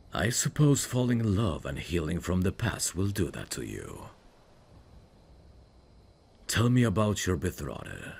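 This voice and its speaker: A man playing a brooding dark fantasy prince in an intimate ASMR roleplay, tender and lovesick, with a husky, gravelly voice and a hushed, formal delivery. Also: rumbling low, full character voice. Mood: wistful, restrained. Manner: slow, hushed, formal and halting. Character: brooding evil prince, tender and lovesick toward old friend. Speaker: voice actor.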